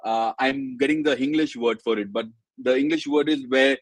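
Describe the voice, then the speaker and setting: A man with an Indian accent hosting a casual live-stream interview, haltingly searching for his words. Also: mid-range voice, no room sound. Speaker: podcast host.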